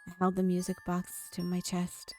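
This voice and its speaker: A woman's voice: lowish voice, completely flat delivery, normal speed, warm tone, faint music behind. A woman hosting a gaming video, reading a visual novel aloud and now and then stumbling over a word.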